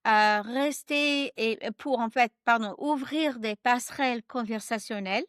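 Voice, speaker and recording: A woman guest author talking in a casual interview, animated and hesitant, laughing often and slipping into English. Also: fairly high pitch, measured pace, clean tone, no room sound, clean studio sound.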